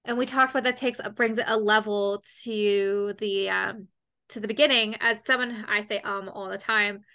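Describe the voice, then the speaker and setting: A woman hosting a casual interview, chatty and expressive, often repeating words as she starts a thought. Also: mid-range voice, normal speed. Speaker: host.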